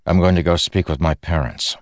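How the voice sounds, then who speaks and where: A man voicing a guarded, weary young soldier who speaks low, earnest and clipped. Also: steady tone, a little fast, dry recording, clean studio sound, lightly acted. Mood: tense.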